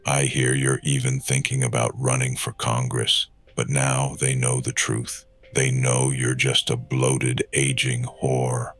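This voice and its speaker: An actor playing a grim, battered ex-wrestler in a hardboiled noir audio drama, speaking in a terse, menacing way. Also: male voice, rumbling low, monotone, takes it slowly, few pauses, fairly bright tone, faint music behind, heavily acted. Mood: accusing, contemptuous.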